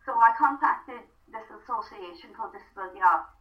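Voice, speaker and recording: A woman's voice: medium pitch, brisk. Young woman, 20s, South Wales Valleys accent, Welsh first language; rambling, chatty guest; distant, dull phone-call audio. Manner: chatty storytelling, frequent ums. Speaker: podcast guest, theatre student. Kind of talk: casual interview chat.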